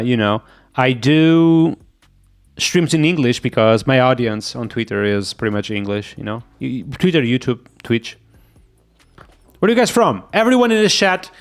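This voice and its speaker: A man with a Portuguese accent, a native Portuguese speaker, streaming live as he codes. He thinks aloud, muttering to himself and repeating quick agreements as he reads, and he sounds sick. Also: medium pitch, animated. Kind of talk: thinking aloud while coding, chatting with viewers.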